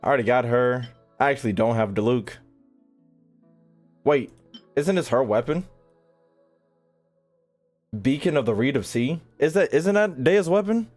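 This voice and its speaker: A man's voice: medium pitch, unhurried, long pauses, a little mellow, soft background music. A man chatting casually to his livestream audience while gaming, slangy and profane, with mock exasperation. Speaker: video game streamer talking to chat.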